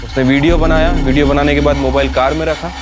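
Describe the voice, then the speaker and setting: A man's voice: fairly high pitch, flat delivery, fast, close to an everyday voice. An actor playing a confident junior male police officer in a TV crime drama, matter-of-fact.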